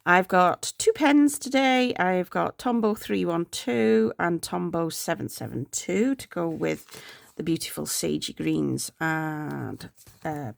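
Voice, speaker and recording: A woman's voice: on the low side, gravelly. A woman chatting casually while planning, congested and with a sore throat, rambling and sometimes murmuring to herself. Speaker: planner vlogger.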